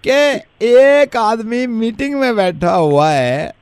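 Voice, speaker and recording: A man voices a girlfriend's older father in a prank call. The voice is pitched up and very slow. He rambles in halting, drawn-out phrases and never gets to the point. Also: animated, clear, resonant tone, dry recording, full character voice. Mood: rambling, ponderous.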